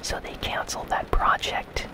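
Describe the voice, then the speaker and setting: A host whispering casual gaming news in a soft, breathy, unhurried ASMR style.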